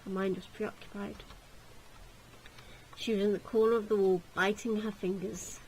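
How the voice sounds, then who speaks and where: A woman's voice: mid-range voice, level tone, normal speed, darkish tone, a little hiss or hum, low-quality recording. A woman reading a gothic visual novel aloud as narrator, in a dramatic storytelling style.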